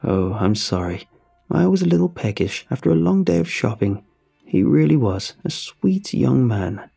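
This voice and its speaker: Casting: male narrator as a gloating old spider-hag, croaky and gravelly, dark tone, sweet menace in a spoken horror story. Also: medium pitch, sing-song, moderate pace, soft background music, exaggerated voice. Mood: sweetly menacing. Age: over 60. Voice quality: croaking, wobbling crickety tone. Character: spidery old woman monster, sly and gloating.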